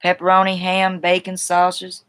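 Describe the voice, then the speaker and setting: A woman's voice: fairly low pitch, monotone, normal speed, fairly bright tone. A middle-aged woman with a Carolina Southern accent, chatting casually with viewers in a rambling, folksy, colloquial way, recorded on a webcam in a room at home. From South Carolina, United States. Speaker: livestream host. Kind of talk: casual chat, responding to viewers. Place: small room at home, indoors.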